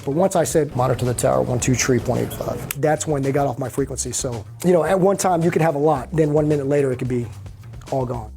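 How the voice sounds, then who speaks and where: A man working as an air traffic controller, explaining and giving instructions in a brisk, practical manner. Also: medium pitch, vocal fry, dark tone. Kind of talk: interview explanation and radio instructions. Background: background music.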